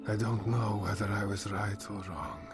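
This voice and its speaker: An actor playing a weary, secretive survivor in a video game cutscene, speaking in a subdued, hushed way. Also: male voice, lowish voice, level tone, normal speed, lightly acted. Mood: weary, reflective. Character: weary, secretive male zone survivor, grimly reflective. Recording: loud music over the voice.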